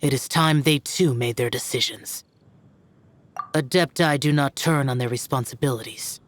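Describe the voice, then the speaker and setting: A man voicing an aloof, solemn, youthful immortal demon hunter in a video game, with a husky, grave, formal delivery. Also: medium pitch, normal speed, fairly bright tone, close to an everyday voice. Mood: solemn, resolute. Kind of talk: dramatic video game voice acting.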